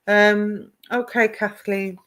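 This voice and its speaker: A woman's voice: medium pitch, flat delivery, slow, fairly bright tone. A woman working as a psychic medium, giving live online readings, greeting viewers by name and calling them "my darling". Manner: affectionate, calls viewers "my darling"; greets and addresses viewers by name, asks if things make sense.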